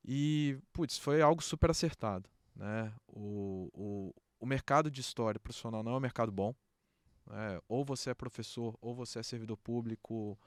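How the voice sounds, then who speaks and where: A man's voice: mid-range voice, normal speed, clean tone, no echo, studio quality. A middle-aged Brazilian man with a Brasília accent, talking casually as an interview guest, often adding little check-in tags. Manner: informal, peppered with check-in tags. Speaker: podcast guest, trade association president.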